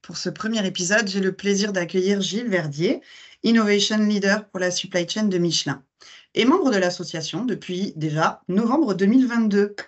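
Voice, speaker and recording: A woman's voice: mid-range voice, normal speed, muffled recording. A woman interviewer reading formal, scripted questions and introductions in a polished way.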